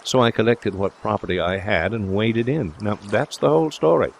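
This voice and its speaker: A man voicing a breezy, confident young gentleman suitor in an audiobook, speaking in a jaunty, reassuring, chatty way. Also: on the low side, normal speed, creaky, a little hiss or hum. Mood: chatty, confiding. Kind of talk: audiobook character dialogue.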